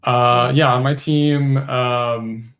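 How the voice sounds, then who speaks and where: A man's voice: mid-range voice, fairly even intonation, very slow, fairly bright tone, highs cut off. A man speaking as an engineer and guest in a webinar, answering in an informal, explanatory way as if thinking aloud. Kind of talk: webinar interview answers, conversational tech talk.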